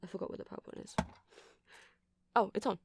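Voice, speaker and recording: A performer playing a friendly, nerdy vampire music shop keeper in an ASMR roleplay, rambling softly and apologetically to a customer with hesitant, casual speech. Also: fast, a little hiss or hum, natural voice. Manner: soft, rambling, hesitant, self-deprecating. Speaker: store clerk.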